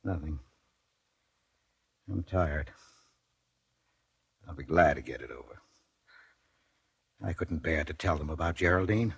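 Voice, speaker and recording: An actor playing a meek, lovelorn man who speaks haltingly and pleadingly in a 1940s radio drama. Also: very deep voice, gravelly, breathy edge, dry recording, heavily acted. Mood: resigned. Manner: hesitant, stammering, pleading. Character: meek, lovelorn man resigned to a murder charge. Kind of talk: 1940s radio drama acting, first-person narration. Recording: 1940s radio broadcast, faint music behind.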